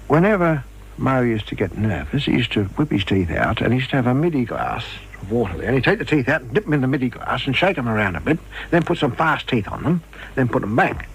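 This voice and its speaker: An older man with a mid-pitched voice spins an anecdote in an interview. His delivery is colloquial and animated, and there is faint noise on the recording. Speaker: interviewee, former radio performer. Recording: noisy archival interview recording.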